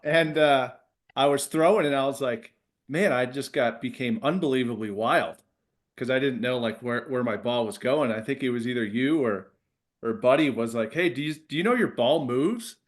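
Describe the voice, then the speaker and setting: A middle-aged man talking as a guest, telling stories in an informal, halting way. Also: medium pitch. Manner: informal, halting, quotes dialogue. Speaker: guest, former relief pitcher. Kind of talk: casual anecdote.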